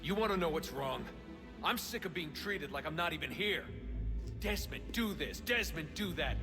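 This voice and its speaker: An actor playing a frustrated, defiant young American hero and son in a video game, blunt and sarcastic. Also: male voice, fairly high pitch, animated, moderate pace, big-room sound, music in the background. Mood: fed up, angry. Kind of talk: video game cutscene acting.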